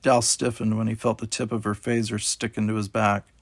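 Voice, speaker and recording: A man's voice: lowish voice, flat delivery, fairly quick, no room sound. A man reading a children's sci-fi story aloud as scripted audiobook narration. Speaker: audiobook reader.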